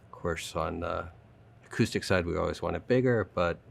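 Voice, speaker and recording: A man's voice: low-pitched, normal speed, creaky. A man speaking as a design expert in an interview, explaining informally. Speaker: audio company design expert.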